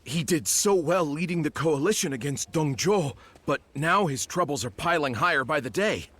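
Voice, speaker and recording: A man's voice: fairly high pitch, animated, moderate pace, fairly bright tone. An actor playing a concerned, gossiping townsman in video game dialogue.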